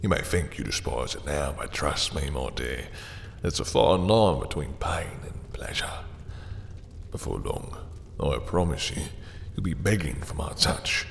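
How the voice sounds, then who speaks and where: Man playing a very low, creaky seductive vampire. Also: animated, heavily acted. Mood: hushed, seductive. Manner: slow, silky, commanding, condescending endearments. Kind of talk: intimate roleplay audio drama acting. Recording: long reverb, faint background noise.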